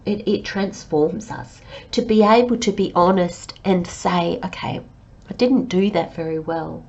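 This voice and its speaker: A woman giving a tarot reading to her listeners, talking in a slow, reflective and gently encouraging way while she has a cold. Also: on the low side, gravelly, dull audio. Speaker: tarot reader.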